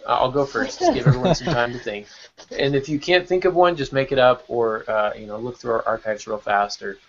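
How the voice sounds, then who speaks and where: A man's voice: medium pitch, brisk, muffled recording, crowd noise. A man hosting a podcast in a casual, enthusiastic and encouraging way. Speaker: podcast host.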